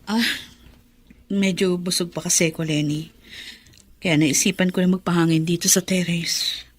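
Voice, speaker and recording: An actress playing a sorrowful, gentle pregnant mother who speaks softly and wearily in a radio drama. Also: fairly low pitch, fairly even intonation, normal speed, breathy edge. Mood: weary. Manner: soft and weary.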